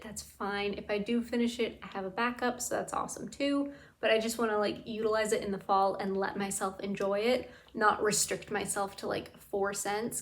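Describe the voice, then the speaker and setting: A woman vlogging in a casual, chatty way about bath products, her voice tired and a bit raspy from talking. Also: mid-range voice. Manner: enthusiastic, gushing, lots of "like". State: tired, slightly raspy throat. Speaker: beauty and scent vlogger. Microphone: camera mic.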